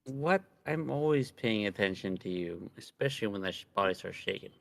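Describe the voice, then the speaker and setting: A man's voice: on the low side, expressive, a little fast, creaky, no room sound. A man co-hosting a livestream in laid-back, joking casual chat. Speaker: livestream co-host.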